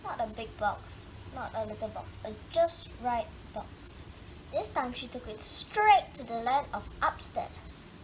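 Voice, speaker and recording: A woman with a high voice reads a children's storybook aloud. She goes slowly and stretches words for dramatic emphasis. The home recording has clear noise behind her. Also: animated, low-quality recording. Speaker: storybook reader. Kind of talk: expressive read-aloud of a children's picture book.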